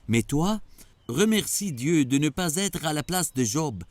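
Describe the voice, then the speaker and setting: An actor playing a wise, patient mentor who explains moral lessons in a children's religious cartoon. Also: male voice, fairly high pitch, expressive, darkish tone. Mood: patient, instructive. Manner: didactic, measured, affectionate address.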